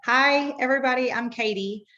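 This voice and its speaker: A hoarse woman in her thirties who is losing her voice gives a personal testimonial as a product distributor, pausing often on um. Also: sits high, sharp tone. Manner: unhurried, with frequent um fillers. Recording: dull lo-fi recording.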